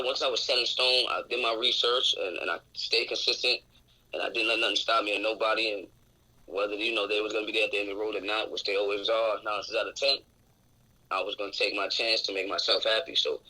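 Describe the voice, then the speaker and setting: Raspy, outspoken young man. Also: on the low side, pushed and bright, poor audio. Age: 20s. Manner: outspoken, open and reflective. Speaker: interview guest. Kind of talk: candid casual conversation. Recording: radio show recording.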